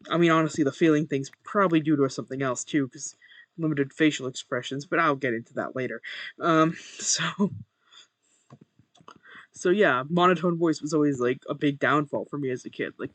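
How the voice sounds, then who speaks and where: A young man with a monotone voice, chatting casually while playing a game and muttering to himself over his first recording. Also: mid-range voice, dry recording. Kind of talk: casual gameplay commentary.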